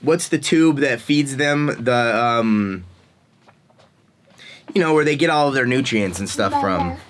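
Relaxed rambling man in his forties. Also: mid-range voice, a little slow, slight background noise. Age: 40s. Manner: rambling, relaxed, laughs often. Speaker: podcast host. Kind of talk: casual conversation.

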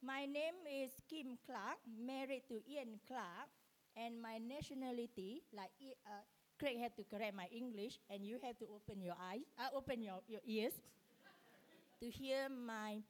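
Higher-pitched Malaysian-accented woman. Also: a little slow. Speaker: panelist. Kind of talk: informal panel talk.

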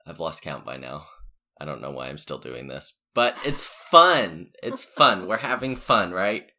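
A man hosts a podcast in an easygoing, joking way, his throat scratchy this early in the day. He talks slowly and leisurely, and his pitch swings widely. The tone is bright. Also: very animated. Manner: laid-back, joking, giggly. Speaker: podcast host. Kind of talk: casual podcast chat.

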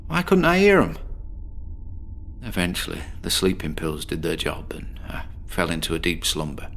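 Troubled insomniac british bloke, low voice, weary rambling confession, horror audio play, kinda morbid and self-deprecating. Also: male voice, wildly swooping pitch, normal speed, fairly bright tone, slight background noise, exaggerated voice. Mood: morbid, uneasy. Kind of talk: dramatic monologue in a horror audio drama.

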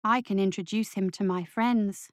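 A woman's voice: mid-range voice, fairly even intonation, moderate pace, no room sound, studio quality, lightly acted. Woman as a sly ghostly little boy. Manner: accusing, mischievous.

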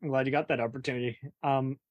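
A woman interviewer with a close, dry sound talks casually and with strong opinions. Her delivery is wry and emphatic, in the relaxed style of a chat between film fans. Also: rumbling low, fast, no echo, highs cut off. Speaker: interviewer, host.

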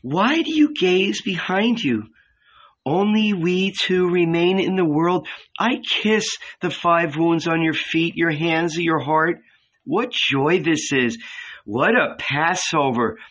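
A man voicing a tender young woman, tearful with joy and pleading in a soft, longing tone, on a dull lo-fi recording. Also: a little high, expressive, slow, pitched above the performer's own voice, exaggerated voice.

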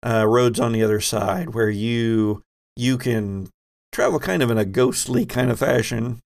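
A man's voice: medium pitch, measured pace, creaky. A man hosting and running a tabletop roleplaying game, talking conversationally and guiding players with questions. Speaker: game master and podcast host.

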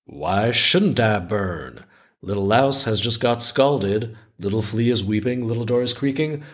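A frantic, defensive little storybook object, read by a man in a slightly low voice. It recites its grievances with indignant emphasis and very lively pitch. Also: unhurried. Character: frantic, defensive little household things in a folktale.

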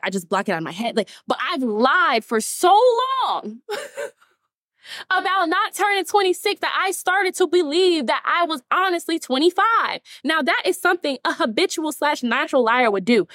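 A woman's voice: high voice, brisk, dry recording, clean studio sound. A woman, talking casually to her audience as host. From Atlanta, Georgia. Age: 20s. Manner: chatty, animated, laughs often, addresses listeners as y'all. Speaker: TikTok creator and podcast host. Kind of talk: casual talk to camera.

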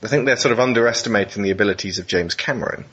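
Male interviewer, thoughtful and conversational, slightly deep, rough-edged voice on a muffled recording. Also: racing, a bit bright.

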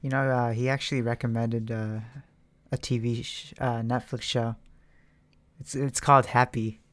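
A man of middle pitch who speaks in a flat, even voice and is recorded dry, with no room sound. He co-hosts a podcast in casual chat, mostly dropping short side comments. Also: normal speed, dry recording. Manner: brief side comments and laughs. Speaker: podcast co-host.

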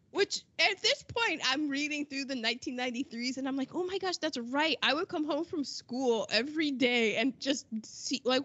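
A woman's voice: fairly high pitch, sharp tone, husky, dry recording, dull audio. A woman in her forties co-hosting a podcast, casually running through past events from her notes and acting out little quotes. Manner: chatty, quips and acts out quotes. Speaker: podcast co-host. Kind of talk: casual chat reading out history notes.

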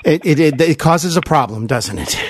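A man hosting a conversational interview, polite and appreciative, with a slightly halting way of putting questions. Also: fairly high pitch, lively intonation, husky. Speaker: podcast host.

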